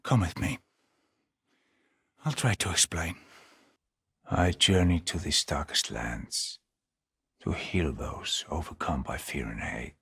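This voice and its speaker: A man voicing a grave, weary Norse guide in a video game, solemn and portentous, with a husky, breathy voice. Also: low-pitched, lively intonation, a bit bright, full character voice. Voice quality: husky, breathy, often dropping to a whisper. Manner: solemn, deliberate, portentous delivery. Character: grave, weary male seer and guide of a haunted Norse land. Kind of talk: dramatic video game dialogue.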